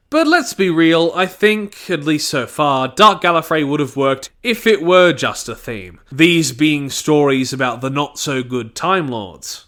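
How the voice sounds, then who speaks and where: Guy doing a scripted review, voice kinda high and super animated up and down. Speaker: audio drama reviewer.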